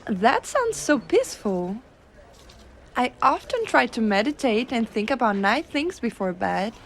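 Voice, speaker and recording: Woman playing a slow-talking, doting giantess secretary. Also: on the high side. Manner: soft coaxing, cooing, unhurried. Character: gentle, doting, teasing giantess secretary, playfully bossy. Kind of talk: ASMR roleplay.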